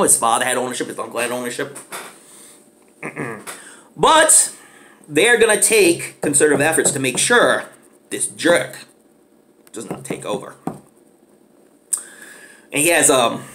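A man's voice: medium pitch, fairly bright tone, slight background noise. A man in his thirties giving a casual, rambling movie review in a small living room, speaking enthusiastically with many fillers into his webcam mic.

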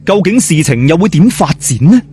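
A man's voice: on the high side, sing-song, brisk, dark tone, soft background music. A man with a Hong Kong Cantonese accent narrating a story as a dramatic audiobook.

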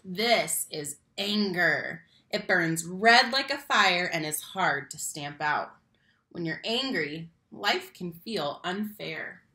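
Young woman, children's read-aloud host. Bright, expressive delivery with emphatic key words, roomy home recording. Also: lowish voice. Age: young adult. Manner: animated, slow emphatic phrasing on key words, addresses children directly. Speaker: read-aloud teacher and storyteller. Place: small room at home, indoors. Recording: roomy home webcam sound.

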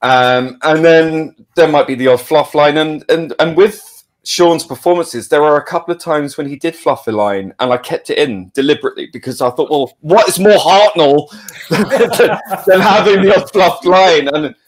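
Man chatting enthusiastically, halting as he goes. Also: a little high. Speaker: audio drama producer and sound editor. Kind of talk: casual interview chat.

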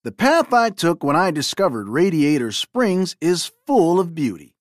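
A woman's voice: on the low side, very animated, a little slow, no echo, clean studio sound. A woman voicing a cocky, friendly young male race car in a playful storybook reading. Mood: jolly.

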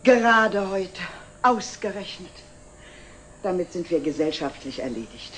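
A woman's voice: lowish voice, lively intonation, a little slow. An actress playing an alarmed, bossy society woman in a 1960s German TV drama. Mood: alarmed, commanding. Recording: hissy old 1960s TV soundtrack.

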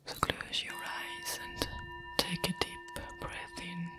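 A woman with a French accent guiding listeners through a soothing ASMR affirmation, leaving long pauses between short phrases. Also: music in the background. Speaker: meditation and ASMR guide. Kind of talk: whispered ASMR guided affirmation.

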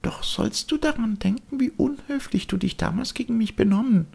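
A man voicing a tiny, gentle but reproachful talking cricket in a storybook, with a thin, delicate voice. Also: high voice, lively intonation, moderate pace, vocal fry, breathy edge, voice pushed up, full character voice. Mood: instructive.

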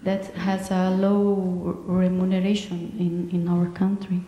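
A woman's voice: on the low side, level tone, slow, muffled recording. A woman interpreting aloud in a large hall, hesitant and full of fillers. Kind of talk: live interpreting. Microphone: handheld mic. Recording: echoey hall, long reverb.

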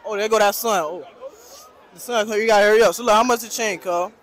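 Hype young guy from south florida, super high voice, talks fast, asking folks about their outfits outside. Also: steady tone, fairly bright tone. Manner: hyped, repeats questions, slangy. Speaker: street interviewer. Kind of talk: casual street interview. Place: outdoor school courtyard.

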